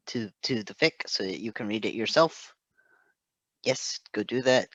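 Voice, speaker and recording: A man's voice: on the low side, fairly bright tone, no room sound, rough recording. A man hosting in a casual chat style, enthusiastic and prone to rambling. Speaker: host.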